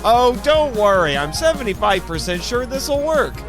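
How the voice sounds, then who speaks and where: A man narrating a scripted first-person adventure story in a theatrical, expressive way, acting out his own dialogue as he goes. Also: very high voice, normal speed, over loud music. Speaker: podcast host narrating an audio story.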